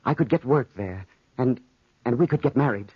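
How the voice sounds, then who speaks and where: Lovesick young male soldier, earnest, hesitant, husky, muffled old 1940s radio drama. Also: mid-range voice, animated, moderate pace, dry recording. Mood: tender.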